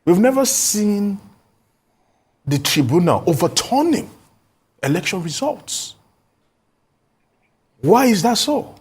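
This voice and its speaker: A man's voice: sits high, sing-song, takes it slowly, pauses often, a little mellow, slightly rough. A man with a Nigerian accent hosting a panel interview and moderating between guests. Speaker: host.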